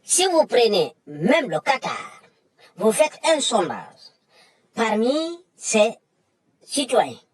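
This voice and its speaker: A woman's voice: fairly high pitch, big pitch swings, slow, pauses often, slightly rough. A woman delivering an emphatic, lecturing monologue that repeats its points. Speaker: commentator. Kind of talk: impassioned monologue.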